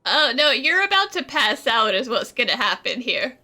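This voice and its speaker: A woman's voice: on the high side, sing-song, normal speed, pushed and bright. A woman giving casual, wry, amused commentary as she plays a game. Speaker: game streamer.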